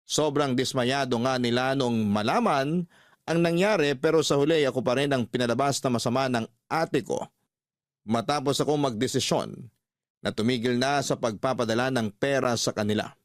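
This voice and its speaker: A man's voice: mid-range voice, moderate pace, no room sound. Man narrating radio love story, dry sound. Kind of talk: scripted first-person story narration.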